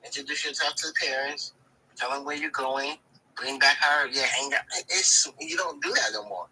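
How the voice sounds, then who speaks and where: A man's voice: a little high, normal speed, creaky, background noise. A man in his forties on a live talk panel, emphatic and repeating his points. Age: 40s. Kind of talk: casual chat on a live talk show.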